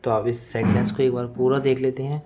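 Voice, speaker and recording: A man with an Indian accent, a native Hindi speaker, teaching English phrase by phrase in a deliberate, repetitive, explanatory manner. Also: medium pitch, little pitch movement, background noise. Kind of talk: bilingual language lesson, phrase by phrase.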